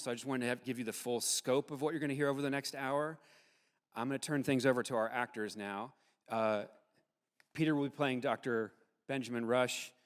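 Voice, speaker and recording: A man's voice: medium pitch, a bit bright. A man speaking as host, giving an informal, explanatory live introduction to a dramatic reading, with hesitant phrasing. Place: large hall, speaking live to an audience. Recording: echoey hall with long reverb.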